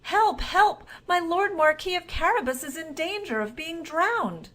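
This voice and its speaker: A woman's voice: very high-pitched, lively intonation, a little slow, a bit bright, voice pushed up, exaggerated voice. A woman voicing a cunning, confident cat from a storybook, with a courtly, persuasive manner. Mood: alarmed, calling out. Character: cunning, confident talking cat, servant to his master.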